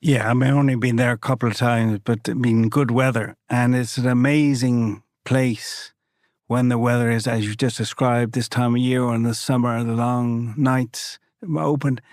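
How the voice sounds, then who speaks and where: A male host interviewing a guest in a gentle, reflective way, often speaking in loose fragments. His voice is mid-pitched, and the recording is close and dry. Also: flat delivery, normal speed, no echo. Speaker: podcast host.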